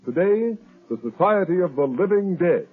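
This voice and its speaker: A man's voice: high voice, animated, normal speed, over loud music. A man announcing a 1930s radio show and reading an advertisement in an emphatic, persuasive way. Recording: tinny old radio broadcast recording.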